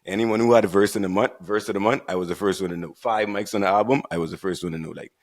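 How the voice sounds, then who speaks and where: A man from the Bahamas with a Bahamian accent, co-hosting a podcast and telling his story in a relaxed, laid-back way. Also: lowish voice, fast talker. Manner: relaxed, laid-back storytelling with frequent "right" and "you know". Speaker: podcast co-host. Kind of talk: casual chat.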